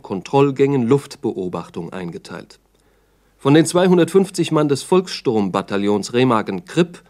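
A man with a medium-pitched voice narrates in the manner of a 1960s German radio documentary drama. His delivery is formal and factual, listing details with emphasis. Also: darkish tone.

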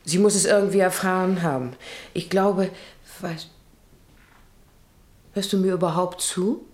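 A woman's voice: on the low side, fairly even intonation, measured pace, a little mellow, lightly acted. An actress in a radio play playing a middle-aged rancher's wife and mother, anxious and caring, hesitant and full of worried questions. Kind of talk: German radio play acting.

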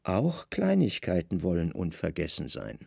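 Man acting a low-voiced, commanding Roman governor. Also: wildly swooping pitch, normal speed, vocal fry, a little mellow, no room sound, studio quality, full character voice. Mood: wry, insistent. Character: brooding Roman governor, commanding, wry, insistent on his hunches. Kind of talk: radio play dialogue.

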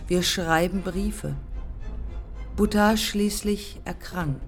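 An actress playing a proud, embittered woman aviator looking back in terse, deliberate fragments, in a German radio play. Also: fairly low pitch, soft background music. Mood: bitter, matter-of-fact. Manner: terse, deliberate, pausing between short phrases.

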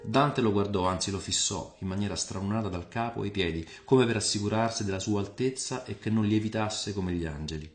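A man's voice: fairly low pitch, a little fast, few pauses, roomy sound, soft background music. A man reading a scripted dramatic story for radio as its narrator.

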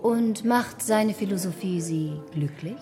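An actress in a German radio drama playing a quick-witted, flirtatious duchess with a poised, sparring manner. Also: female voice, mid-range voice, music in the background, lightly acted. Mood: arch, playful.

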